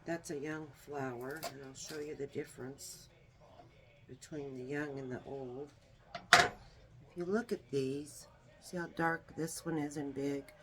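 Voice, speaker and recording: A woman with a small, soft voice, demonstrating a herbal remedy in a homey, unhurried way while she works. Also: deep voice, fairly even intonation, very noisy. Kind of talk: casual how-to demonstration.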